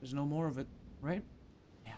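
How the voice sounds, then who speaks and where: A man's voice: on the high side, normal speed, warm tone, slight background noise. A man giving casual, theatrical and exclamatory commentary while playing a video game.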